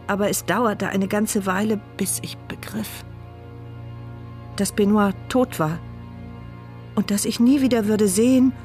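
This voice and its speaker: A woman's voice: mid-range voice, natural voice. An actress playing a bitter, sardonic paralysed woman who speaks her inner thoughts as the narrator of a radio drama. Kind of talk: radio drama first-person inner monologue. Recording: studio radio play with music underneath.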